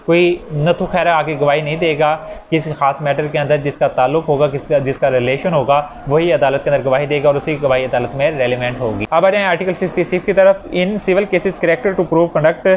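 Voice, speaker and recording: A Pakistani man with a somewhat high-pitched voice teaches in an informal lecture style. He explains step by step and poses rhetorical questions as he goes. There is light noise and ambience behind his voice. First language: Urdu. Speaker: law teacher. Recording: noisy recording with background ambience.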